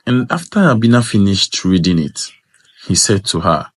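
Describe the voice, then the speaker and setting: A man's voice: low voice, lively intonation, normal speed, husky, dry recording, clean studio sound. A man narrating a scripted folktale as a storyteller.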